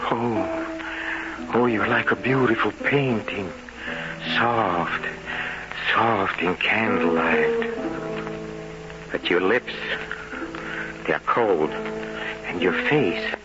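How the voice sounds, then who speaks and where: An actor playing a tormented, jealous, wine-soaked man in a gothic radio drama, halting, repetitive and brooding. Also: lowish voice, loud music behind the voice. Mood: anguished. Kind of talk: old-time radio horror acting. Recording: dull vintage radio recording.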